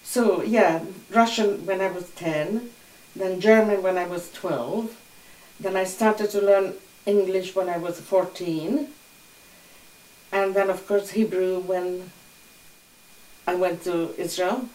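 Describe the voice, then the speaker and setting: A woman's voice: lowish voice, fairly even intonation, takes it slowly, darkish tone. Older woman recalling, distant and roomy. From Hungary. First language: Hungarian. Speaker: interviewee. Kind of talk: oral history recollection. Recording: roomy echo, distant mic.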